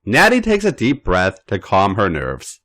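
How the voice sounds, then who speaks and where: A man narrating a story aloud as a podcast host, with an expressive reading style. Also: on the low side, unhurried.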